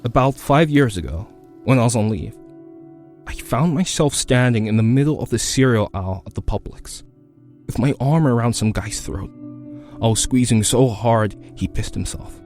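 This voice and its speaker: A man's voice: mid-range voice, normal speed, a little mellow, soft background music, lightly acted. A man performing a dramatic monologue as a hardened, menacing military veteran, controlled and intense. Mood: controlled menace.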